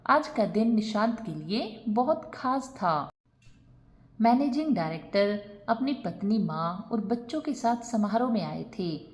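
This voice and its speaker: A woman's voice: mid-range voice, lively intonation, a little slow. A woman narrating a scripted moral family story as a storyteller.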